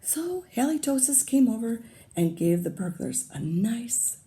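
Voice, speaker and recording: A woman teacher reading a picture book aloud to young children, pausing to comment and ask them questions. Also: fairly high pitch, big pitch swings, warm tone.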